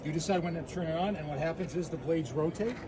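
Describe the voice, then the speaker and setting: A man pitching his own invention to a street interviewer, explaining step by step with frequent uhs. His voice sits slightly high. Traffic noise is clearly heard behind him outdoors. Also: fast talker. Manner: eager, explanatory, filler uh. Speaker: product inventor.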